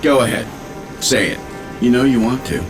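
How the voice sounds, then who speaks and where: An actor voicing a wry, reassuring, easygoing superhero in a video game, conversational and teasing. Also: male voice, mid-range voice, normal speed, natural voice. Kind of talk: video game cutscene acting. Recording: music behind the voice.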